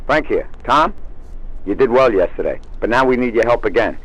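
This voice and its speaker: An actor playing a curt, insistent mobster giving urgent orders by telephone in a video game. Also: male voice, medium pitch, lively intonation, moderate pace, fairly bright tone, rough recording. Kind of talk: video game cutscene acting.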